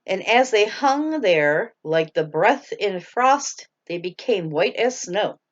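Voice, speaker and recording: A woman's voice: medium pitch, lively intonation, slow, muffled recording. A woman reading a bedtime story aloud to her children, stumbling over hard words and laughing at herself.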